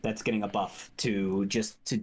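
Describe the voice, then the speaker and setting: A man's voice: on the low side, little pitch movement, moderate pace, breathy edge. A man hosting a casual gaming podcast, talking with frequent restarts and hedges. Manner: hesitant, restarts and hedges often. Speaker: podcast host.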